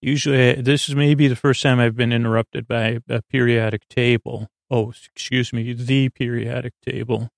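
Creaky-voiced man, flat lulling bedtime ramble. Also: mid-range voice, no echo, studio quality. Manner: meandering, halting, trails off into digressions; lulling, soothing. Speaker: podcast host. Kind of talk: rambling bedtime monologue to put listeners to sleep.

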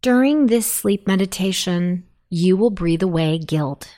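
Female sleep meditation narrator, slightly low pitch, very unhurried pacing, soothing and deliberate delivery. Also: lively intonation, mellow. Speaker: meditation guide.

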